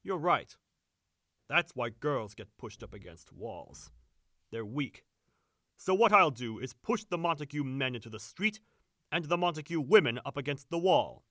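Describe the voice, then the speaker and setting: A man's voice: mid-range voice, sing-song, unhurried, a bit bright, dry recording, studio quality. Sampson, a man playing a crude cocky servant. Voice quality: boastful, crude swagger. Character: brash boastful young male servant, crude braggart. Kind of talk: audio drama acting.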